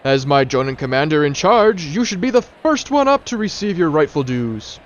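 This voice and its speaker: A man's voice: a little high, sing-song, normal speed, muffled recording. A man playing a cheerful, eccentric village leader in a radio drama, with a grand, sunny, theatrical manner. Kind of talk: fan radio drama acting.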